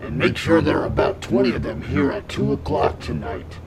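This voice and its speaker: An actor playing a scornful, coldly commanding informant with a gravelly, half-whispered voice. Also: male voice, deep voice, sing-song, fairly quick, a loud crowd, exaggerated voice.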